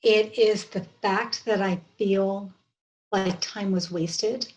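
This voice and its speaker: A woman of about fifty with an American accent, talking earnestly and haltingly as a guest in an interview, often restarting her sentences. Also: medium pitch, steady tone, a little mellow, lo-fi sound. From southern United States. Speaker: podcast guest. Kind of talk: reflective interview conversation.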